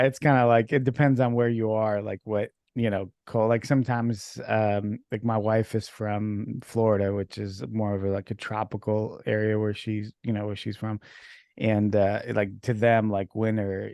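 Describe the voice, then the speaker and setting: Gravelly low-voiced man hosting a podcast. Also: normal speed, dry recording, studio quality. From Ukraine. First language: Russian. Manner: relaxed, filler-heavy, laughs easily. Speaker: podcast host. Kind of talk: casual interview chat. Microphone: video call.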